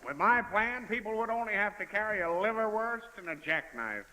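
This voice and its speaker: An actor playing a dim, folksy, boastful political candidate with ungrammatical speech in a 1930s radio comedy. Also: male voice, sits high, expressive, moderate pace, pushed and bright. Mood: blustering. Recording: noisy, echoing 1930s radio broadcast.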